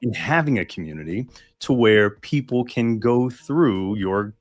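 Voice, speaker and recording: A man hosting an online event, summing up a guest's points with steady affirmations and many fillers over a video call. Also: fairly low pitch, measured pace, dry recording. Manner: summarizing, affirming, filler-heavy. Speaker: event host. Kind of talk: interview hosting.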